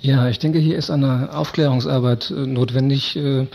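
A man answering as an interviewed expert, speaking in a deliberate, academic and hesitant way. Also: mid-range voice, steady tone, vocal fry, darkish tone, a little hiss or hum. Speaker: interviewed expert on media and political discourse. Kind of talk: explanatory radio interview. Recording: dull, lo-fi radio recording.

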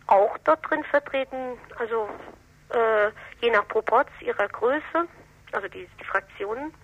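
A woman explaining local politics in a discussion, critical and emphatic, with halting phrasing. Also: on the high side, fairly bright tone. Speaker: political commentator on local council affairs. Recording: dull, lo-fi radio interview recording.

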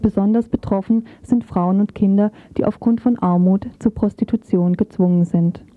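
A woman's voice: medium pitch, steady tone, moderate pace, no echo. A woman reading a scripted report as a radio reporter. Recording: muffled, phone-like narrow band.

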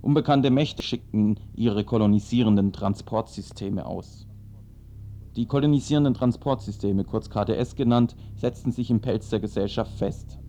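A man's voice: fairly low pitch, normal speed, mellow, a little hiss or hum. A man narrating a radio parody as earnest, pseudo-dramatic storytelling.

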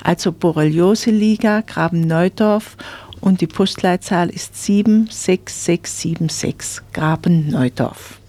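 A woman presenting advice on a radio magazine, explaining clearly and repeating details for listeners. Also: on the low side, unhurried, warm tone.

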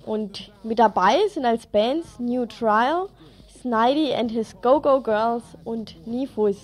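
A woman's voice: on the high side, slow pace. A woman presenting event tips on local radio in an informal, colloquial manner.

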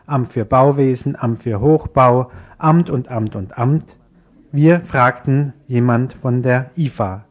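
A man's voice: medium pitch, darkish tone. A man working as a radio interviewer, moving between scripted reading and informal, slightly hesitant questions. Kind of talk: news interview, partly scripted and read.

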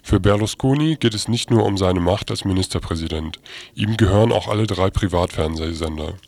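A man's voice: low-pitched, fairly quick, gravelly, a little mellow, no echo. A man reading scripted news as a radio newsreader.

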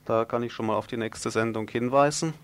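A man's voice: medium pitch, fairly even intonation, brisk. A man hosting a radio news magazine, presenting and interviewing.

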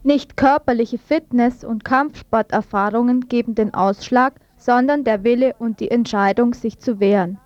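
A woman's voice: a little high, normal speed, few pauses, darkish tone, dry recording, highs cut off. A woman radio presenter reading news reports from a script in a studio.

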